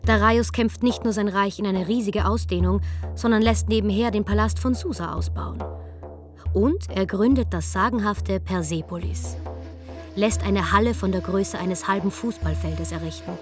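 A woman's voice: medium pitch, moderate pace, music in the background. A woman narrating a scripted comic history programme with wry, colloquial asides.

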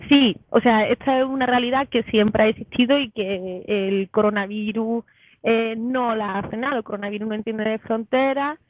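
A woman's voice: on the high side, fairly even intonation, normal speed. A woman answering as an interview guest over a call. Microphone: phone or online call. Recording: tinny narrow phone-like line.

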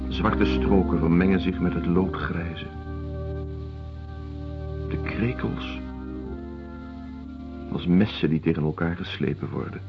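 An ailing idealist doctor in a radio play, with a low, insistent voice. He brushes off concern in a declamatory way, on a dull recording with music behind. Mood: brooding. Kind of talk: radio drama acting.

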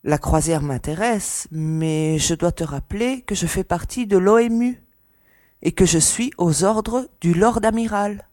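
A woman's voice: fairly low pitch, unhurried, a little mellow, no echo, studio quality, close to an everyday voice. An actress playing a skeptical young woman officer in a sci-fi audio drama, questioning and coolly teasing. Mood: skeptical, coy. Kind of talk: French audio drama dialogue.

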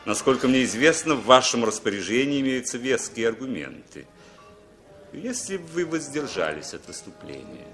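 A man acting a suave, scheming gentleman with a polite, insinuating manner in a 1960s Soviet radio play. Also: medium pitch, big pitch swings, moderate pace, soft background music. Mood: smooth, insistent. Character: suave, scheming gentleman quietly pressing for favours.

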